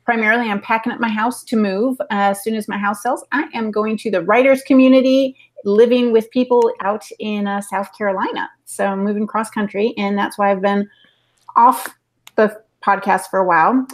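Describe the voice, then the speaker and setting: A woman's voice: medium pitch, steady tone, moderate pace. A woman co-hosting a podcast, chatting casually in a playful, teasing way. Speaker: podcast producer and co-host. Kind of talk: casual live podcast chat.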